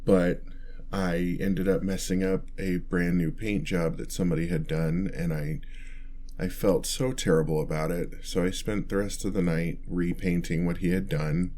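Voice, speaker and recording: A man's voice: sits low, fairly even intonation, normal speed, dark tone, a little hiss or hum, lightly acted. A man playing a meek, nerdy, newly turned vampire in an ASMR roleplay, hesitant, stammering and apologetic as he rambles. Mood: embarrassed, earnest.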